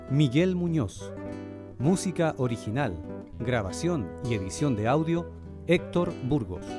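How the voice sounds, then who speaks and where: A man reading scripted closing credits as a radio announcer. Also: a little high. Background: guitar music.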